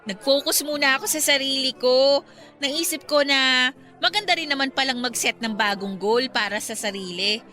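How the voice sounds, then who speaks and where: An actress playing a hopeful but easily hurt young woman in a Tagalog radio romance, expressive and pleading. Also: high-pitched, normal speed, soft background music. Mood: earnest. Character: lovelorn young woman, newly slimmed, hopeful yet hurt.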